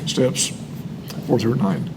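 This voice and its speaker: A man's voice: medium pitch, lively intonation, takes it slowly, gravelly, noisy background. An American man reading aloud and sharing personal testimony, emphatic and repeating phrases for weight. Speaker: co-host reading and commenting on a recovery book.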